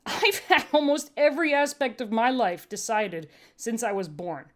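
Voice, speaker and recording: A gruff, weary medic from an audio story, as a woman acts it out. The speech is blunt and emphatic, ready to argue. It sits higher than the reader's narrating voice. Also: sing-song, normal speed. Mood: argumentative.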